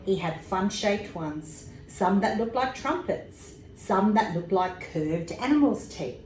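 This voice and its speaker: A woman reads a children's bedtime story at a slow, unhurried pace. Her delivery is expressive, with small asides about the pictures. The room gives her voice noticeable echo, with faint noise behind it. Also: medium pitch. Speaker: storybook reader, emergency services commissioner. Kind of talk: bedtime story read aloud to young children.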